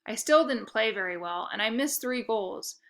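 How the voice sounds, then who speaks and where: A woman reading a children's book aloud as an expressive storyteller, acting out the dialogue. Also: a little high, moderate pace. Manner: animated, acts out dialogue.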